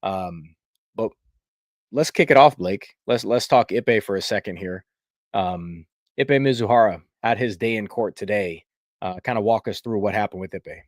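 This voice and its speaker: A man's voice: lowish voice, moderate pace, dry recording, studio quality. A man with a stuffy, congested voice hosting a casual live sports chat in a rambling, filler-heavy way. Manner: rambling, chatty, many fillers. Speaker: podcast host.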